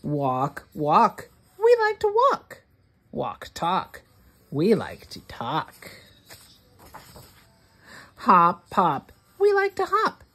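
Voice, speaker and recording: Very slow, expressive woman reading aloud. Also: on the low side, breathy edge. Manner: deliberate, word-by-word, expressive delivery for early readers. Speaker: children's read-along host. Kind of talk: read-aloud of a rhyming picture book for young children.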